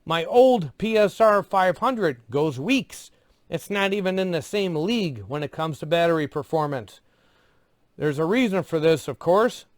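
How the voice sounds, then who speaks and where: A man with a notably high voice narrates a product review, recorded very dry with no room sound. His delivery is scripted yet conversational. He keeps to plain, clipped phrases and slips in dry asides. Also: lively intonation, measured pace, dry recording.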